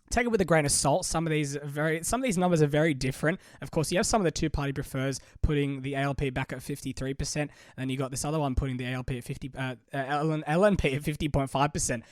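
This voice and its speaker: A man's voice: fairly high pitch, no room sound, clean studio sound. A young man hosting a solo politics podcast, chatty and full of restarts, with a cold that makes him sound a bit different. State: has a cold. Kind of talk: casual solo commentary.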